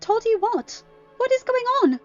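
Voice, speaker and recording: A frightened teenage girl apprentice from a space-fantasy audiobook acted by a woman reader. Her high voice is eager and emotional. Faint music sits behind a slightly dull recording. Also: sing-song, a little slow, heavily acted. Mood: distressed. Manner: emotional, questioning.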